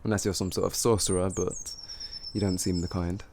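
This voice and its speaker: A man playing a cocky, flirtatious highwayman who teases and gloats in an improvised fantasy roleplay spoken to the listener. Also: level tone, fairly quick, a little mellow, slight background noise. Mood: smug, teasing. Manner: teasing, gloating, chuckling, improvised hesitations.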